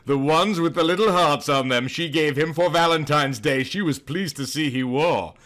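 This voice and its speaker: A man's voice: on the high side, moderate pace, a bit bright. A man narrating a comic audiobook in a mock-serious, theatrical, overemphatic way.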